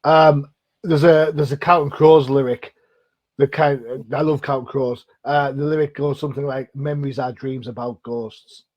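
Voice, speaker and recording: A man's voice: medium pitch, fairly even intonation, moderate pace, dry recording, muffled recording. A middle-aged man from Britain hosting an interview, chatty and self-deprecating, with rambling questions. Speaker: podcast host. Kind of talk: casual interview chat.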